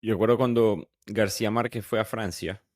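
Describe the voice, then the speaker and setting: A man's voice: fairly low pitch, fairly even intonation, normal speed, a little mellow. A young man from Venezuela hosting a podcast, chatting conversationally and often restarting his sentences. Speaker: podcast host. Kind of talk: casual podcast interview.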